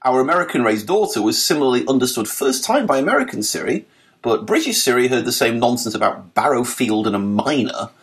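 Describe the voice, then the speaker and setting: A man narrating a written article for a podcast, reading with a lively, articulate delivery and dry wit. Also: mid-range voice, a little fast.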